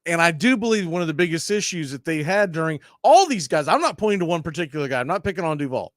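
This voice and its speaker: Sports stream host, male, slightly high register, opinionated and casual, often self-correcting, very dry audio. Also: big pitch swings, quick pace, no room sound. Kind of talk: casual live sports talk.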